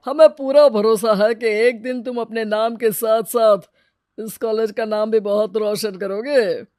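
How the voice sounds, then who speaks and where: A woman's voice: on the high side, fairly even intonation, unhurried, darkish tone, dry recording, clean studio sound, natural voice. A woman voicing a proud, encouraging college principal in a cartoon story.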